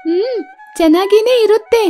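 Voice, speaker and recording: A woman's voice: very high voice, normal speed, mellow, faint music behind. A woman voicing a blunt, teasing female parrot in a children's cartoon, scoffing at her friend. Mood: mocking.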